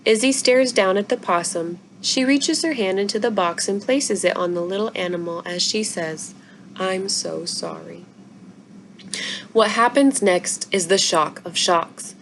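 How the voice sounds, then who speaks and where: A woman's voice: mid-range voice, slight background noise, low-quality recording. A woman reading a children's book aloud expressively, as a story-time narrator. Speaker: library story-time reader.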